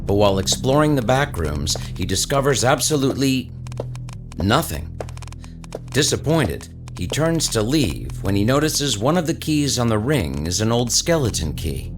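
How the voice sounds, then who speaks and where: A man's voice: medium pitch, very animated, moderate pace, lightly acted. A man reading dramatic scripted narration for an audio story, with music behind him.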